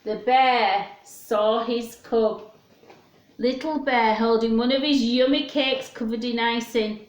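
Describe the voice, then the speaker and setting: Lady doing kids storytime, takes it really slow, highish voice, echoey room. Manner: simple, expressive, addressing young listeners. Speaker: children's storyteller. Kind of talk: read-aloud storytime for young children.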